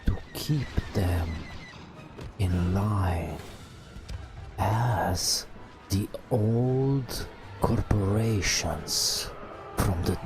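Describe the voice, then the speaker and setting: A man telling a bedtime story in a hushed ASMR style, in drawn-out phrases with long pauses. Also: sits low. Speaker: narrator. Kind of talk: whispered ASMR bedtime storytelling over game footage.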